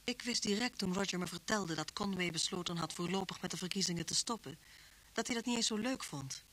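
Slightly husky reflective woman narrator. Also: lowish voice, fairly quick, fairly bright tone, rough recording. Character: reflective woman narrator, confidante of a police inspector. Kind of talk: radio drama narration.

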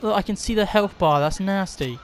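A man's voice: high-pitched, moderate pace, slight background noise. A young man hosting a gaming video, chatting casually, swearing and laughing over the game. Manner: swears a lot, laughs, gets loud and excited. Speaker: gaming channel host. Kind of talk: casual gameplay chat. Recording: game audio with gunfire behind. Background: gunfire from the game.

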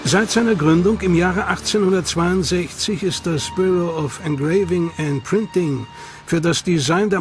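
A male narrator reads a documentary script with dramatic, suspenseful emphasis. His voice is notably high for a man. Clear music runs beneath his studio voice-over. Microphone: studio mic.

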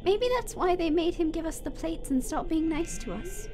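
A woman playing an innocent, hopeful little skeleton boy in an animated comic dub, earnest, trusting and childlike. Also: extremely high pitch, normal speed, over music. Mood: wistful. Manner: childlike, earnest, wide-eyed.

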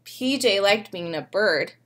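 A young woman reading a picture book aloud to small children like a preschool teacher, expressively and often pausing to ask them questions. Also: medium pitch, expressive, a bit bright. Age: young adult. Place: living room at home.

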